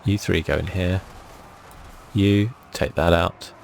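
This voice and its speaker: Male ASMR gamer: soft, breathy, low and creaky, casual half-whispered play-by-play. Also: takes it slowly, a little hiss or hum. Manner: casual, laid-back, musing to himself. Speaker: gaming channel host. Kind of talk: relaxed ASMR game commentary.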